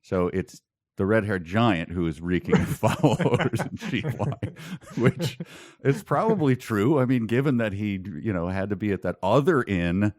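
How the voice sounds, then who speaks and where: A man's voice: fairly low pitch, animated, normal speed, a little mellow, dry recording, studio quality. A man co-hosting a comedy podcast, talking through a book in a casual, conversational style. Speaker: podcast co-host. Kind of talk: casual comic book discussion.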